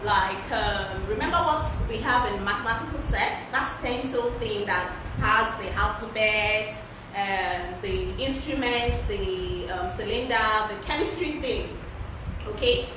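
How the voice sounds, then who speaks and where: A woman with a loud voice leads a sewing pattern lesson in a casual, chatty way, often repeating words for emphasis. She is heard from some distance in a very echoey room. A steady background noise runs under her voice. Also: medium pitch, unhurried. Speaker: fashion pattern-drafting instructor. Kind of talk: live-streamed class, casual instruction.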